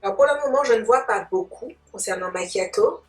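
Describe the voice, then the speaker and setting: A woman's voice: fairly high pitch, brisk. A woman giving a casual makeup tutorial, chatting playfully in slang and addressing viewers like friends. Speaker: beauty vlogger demonstrating makeup. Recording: roomy, distant mic, music behind.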